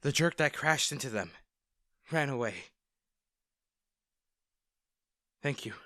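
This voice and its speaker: A man playing a kind, worried young male rescuer in a roleplay audio drama, speaking in a gentle, hesitant, reassuring manner. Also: medium pitch, moderate pace, dry recording, clean studio sound, close to an everyday voice. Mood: alarmed, urgent. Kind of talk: acted first-person roleplay audio drama.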